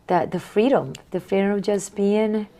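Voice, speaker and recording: A woman in her forties from Honduras, answering interview questions in a soft, reflective way with small repeats. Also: mid-range voice, moderate pace. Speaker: guest.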